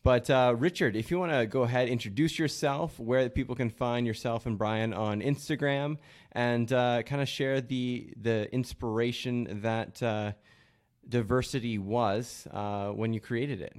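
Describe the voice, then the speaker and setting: A man hosting a casual podcast interview, relaxed and easygoing, with many fillers. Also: mid-range voice, unhurried. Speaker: podcast host. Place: coffee shop.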